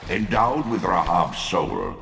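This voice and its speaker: An actor playing a deep, commanding ancient male elder god, speaking in a solemn, ominous, declamatory voice with booming reverb for a video game. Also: huge pitch swings, slow, a bit bright, full character voice. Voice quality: deep, gravelly, echoing. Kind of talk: video game voice acting and narration. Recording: reverberant game audio with music.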